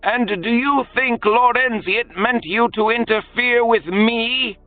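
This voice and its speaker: A man's voice: very high-pitched, fairly even intonation, slow, no echo, phone-quality sound, faint music behind, voice pushed up. A man voicing a haughty older woman who advises a duke and scolds soldiers. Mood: commanding.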